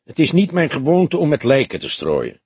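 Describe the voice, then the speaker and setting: A male narrator plays a grizzled old detective from a crime audiobook. He is laconic and grumbling, very husky, with a lively swing in pitch, recorded dry and close. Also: normal speed, no echo, full character voice. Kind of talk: acted dialogue in an audiobook.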